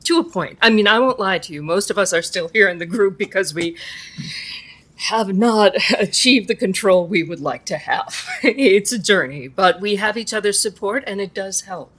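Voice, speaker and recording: A woman's voice: mid-range voice, expressive, normal speed, fairly bright tone. A woman acting a composed support group leader. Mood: reassuring. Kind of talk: audio drama acting.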